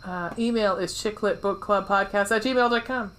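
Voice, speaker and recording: A woman's voice: mid-range voice, moderate pace, a bit bright, a little hiss or hum. A woman co-hosting a podcast in casual chat, informal and playful, with a habit of swearing. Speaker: podcast co-host.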